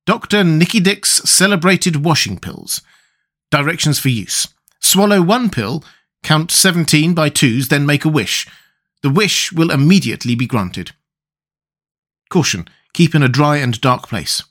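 A man's voice: a little high, big pitch swings, a little slow, a bit bright, voice pushed up, full character voice. A man voicing an earnest, thoughtful, inquisitive young boy with a direct storybook manner. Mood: reading aloud.

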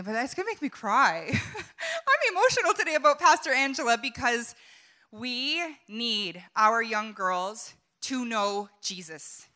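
A woman's voice: a little high, bright, forceful tone. A woman in her thirties introducing the speaker, heartfelt and emotional, with many hesitations. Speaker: conference host introducing the speaker.

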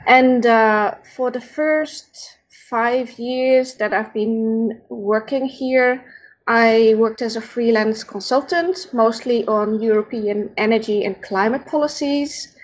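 A Dutch woman with a Dutch accent, a podcast guest who speaks in a halting, thoughtful way while searching for words. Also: on the high side, rough recording. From Netherlands. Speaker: podcast guest, founder of an organization. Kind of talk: casual interview conversation.

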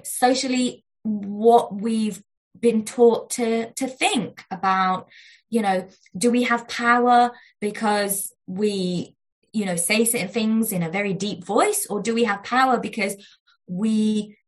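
A woman with a squeaky, small voice answers interview questions at a middling pitch. She talks thoughtfully, stops and restarts often, and keeps checking in with "right?" as she explains. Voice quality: describes her voice as squeaky and little. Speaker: guest, negotiation researcher. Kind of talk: conversational interview.

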